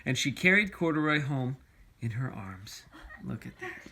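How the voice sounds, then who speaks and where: A man reading a storybook aloud to a young child, expressively, pausing to chat and ask questions about the pictures. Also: medium pitch, sing-song, sharp tone, husky. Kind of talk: storybook read-aloud with chatty asides to a child.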